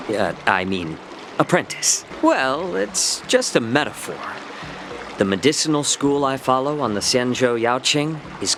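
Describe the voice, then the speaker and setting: Sly, genial healer, lively intonation. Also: male voice, fairly low pitch, background noise. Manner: polite, wordy, explanatory, gently teasing. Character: genial, sly male healer with a scholarly bent. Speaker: voice actor in a video game. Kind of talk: game cutscene character acting.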